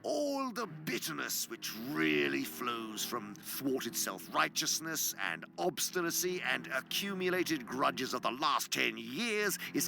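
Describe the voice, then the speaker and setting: A man's voice: mid-range voice, creaky, low-quality recording. A man playing a sly, gloating senior demon who lectures pompously for a radio drama, savoring his words and sometimes dropping to a whisper. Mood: smug lecturing. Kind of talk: theatrical radio drama monologue.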